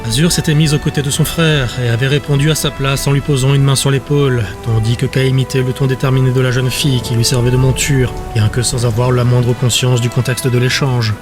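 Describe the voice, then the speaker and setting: A man's voice: mid-range voice, little pitch movement, brisk, few pauses, over music. A man giving scripted narration for a fantasy audiobook.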